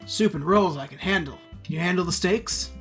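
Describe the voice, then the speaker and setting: A man's voice: high voice, brisk, a bit bright, faint music behind. A man playing an earnest, generous do-gooder in an audio drama. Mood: earnest, insistent. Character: earnest, generous man nicknamed Santa, pleading for help.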